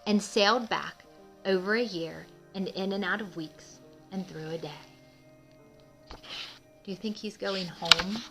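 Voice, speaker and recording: A woman's voice: medium pitch, slow, pauses often, over loud music. A woman hosting a children's read-aloud, telling a picture book story expressively and addressing young listeners in simple terms. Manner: asks young listeners questions, explains simply; emphatic, expressive reading.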